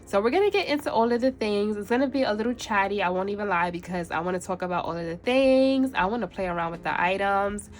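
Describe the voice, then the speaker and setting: A woman's voice: mid-range voice, fast talker, hardly any pauses, faint music behind. A woman with a congested, stuffy voice chatting through an unboxing on her planner vlog, laughing often between phrases. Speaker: planner vlogger.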